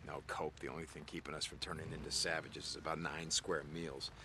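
An actor playing a gruff, world-weary American biker drifter in a video game, muttering and sardonic. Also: male voice, extremely low pitch, flat delivery, normal speed, heavy background noise. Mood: firm, sardonic. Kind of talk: naturalistic video game acting.

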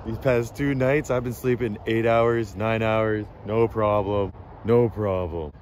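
A man's voice: fairly low pitch, slow, slight background noise. Laid-back man vlogging from his car. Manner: relaxed, chatty, many fillers like "man" and "like". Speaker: travel vlogger. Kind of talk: casual vlog talk to camera. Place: inside an SUV and outdoors by a coastal beach. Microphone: handheld phone or camera at arm's length.

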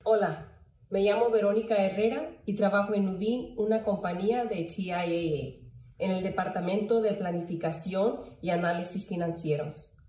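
A woman's voice: mid-range voice, fairly even intonation, moderate pace, mellow. A woman in her thirties giving an educational presentation to camera from a room at home. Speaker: employee volunteer presenter. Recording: echoey room, distant mic.

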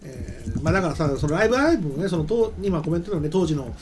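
A man's voice: fairly high pitch, sing-song, racing, a loud crowd. A middle-aged man giving casual commentary as a talk show host, hesitant and thinking aloud, close to a desk microphone. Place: small indoor streaming studio. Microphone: desk microphone on a stand.

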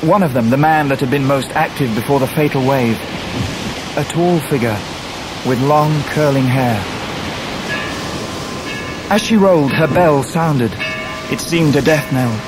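A male actor as an earnest English gentleman recalling his life in a radio drama. His telling is measured and vivid, at a middling pitch, with clear rushing noise behind it. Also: natural voice. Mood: vivid, urgent. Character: grown David Copperfield, earnest grieving male narrator. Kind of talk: dramatic literary narration in a radio play. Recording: noisy surf and wind behind voice.

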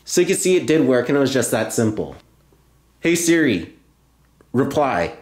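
A man's voice: medium pitch, very animated, normal speed, darkish tone. A man hosting a tech video, narrating a hands-on tutorial step by step and often repeating himself. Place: indoor room at a table. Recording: roomy, echoey indoor sound.

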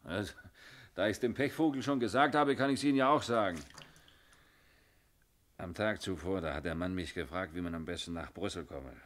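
A man's voice: sits low, normal speed, pauses often, a bit bright. An actor playing a guarded, cheeky barman under police questioning in a German radio crime drama, explaining in short bursts.

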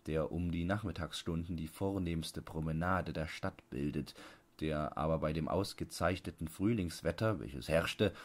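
A man with a low-pitched voice narrates literary prose from a script. His delivery is measured and deliberate, in the manner of a bedtime audiobook.

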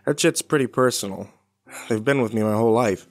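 A man's voice: mid-range voice, a little fast, close to an everyday voice. An actor playing a weary, haunted, cynical man who broods and swears through a first-person horror audio drama. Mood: wry, guarded. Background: music behind the voice.

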